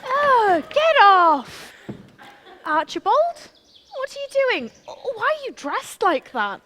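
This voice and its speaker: Woman acting a haughty teen panto princess, super high voice, swoopy and theatrical, easily offended. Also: big pitch swings, takes it slowly, bright, forceful tone, slight background noise, full character voice. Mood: alarmed, confused. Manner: theatrical, indignant. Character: indignant, haughty eighteen-year-old fairy-tale princess.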